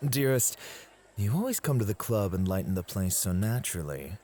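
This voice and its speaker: A man's voice: lowish voice, very animated, normal speed, warm tone, a little hiss or hum. A man acting in an ASMR roleplay as a possessive, doting club host with an affectionate, teasing way of talking. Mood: fond, thoughtful.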